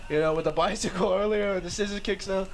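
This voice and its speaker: A man doing play-by-play commentary for a live match, slightly hoarse, excitable and chuckling often. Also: high-pitched, fast, slight background noise.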